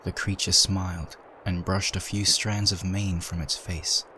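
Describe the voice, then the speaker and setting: A man reading a dark story aloud in a measured, dramatic narration. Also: sits low, little pitch movement, a little hiss or hum, rough recording.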